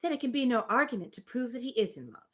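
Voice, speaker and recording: A woman's voice: on the high side, animated, racing, breathy edge. A woman reading a spirited, opinionated young woman from a Regency novel, emphatic and formal. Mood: firmly dismissive.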